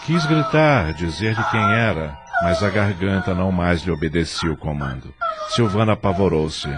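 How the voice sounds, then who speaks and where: A man's voice: deep voice, lively intonation, normal speed. A man reading dramatic scripted narration as the narrator of an audio drama. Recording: loud music under the voice. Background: loud music.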